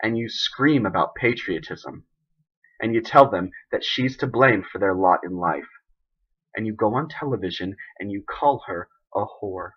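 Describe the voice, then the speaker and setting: A man playing an embattled, idealistic president who gives an emphatic, deliberate, indignant speech in a dramatic monologue. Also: lowish voice, slightly rough, muffled recording. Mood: scornful, pointed.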